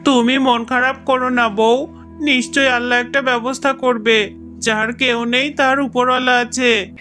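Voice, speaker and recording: A man voicing a gentle, ailing fox husband in a cartoon, speaking soothingly. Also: very high voice, flat delivery, unhurried, music in the background. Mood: concerned, consoling.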